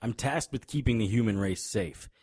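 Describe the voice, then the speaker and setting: An actor playing a tough, wisecracking monster hunter in an audio drama, grim and cocky, with a husky voice. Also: male voice, lowish voice, moderate pace, a little mellow, no room sound, studio quality. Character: hard-boiled male monster hunter, grim, cocky, threatening. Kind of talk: audio drama acting with noir first-person narration.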